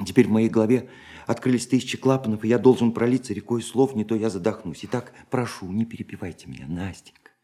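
Male actor as romantic lonely dreamer, low register, impassioned monologue, ornate literary wording, dramatic pauses, radio drama. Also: dark tone, close to an everyday voice. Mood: fervent, tender. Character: lonely romantic young dreamer pouring out his heart.

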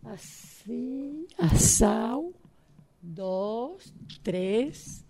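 A woman improvises in an acting exercise. Her voice is on the high side yet mellow, with plenty of fry. She goes haltingly, leaving long gaps between items. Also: expressive. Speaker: radio drama workshop participant. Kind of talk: acting exercise, numbers and invented words.

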